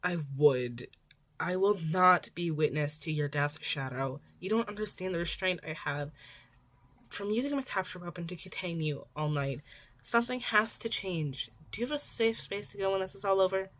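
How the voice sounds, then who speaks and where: Stern adult male hero, voiced by a female reader, lowish register, flat deadpan delivery, audiobook character work. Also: normal speed. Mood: firm, concerned. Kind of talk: audiobook-style fan fiction character acting.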